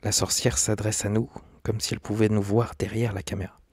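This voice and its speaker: A man's voice: lowish voice, flat delivery, fairly quick. A man giving scripted, documentary-style narration as a video essayist.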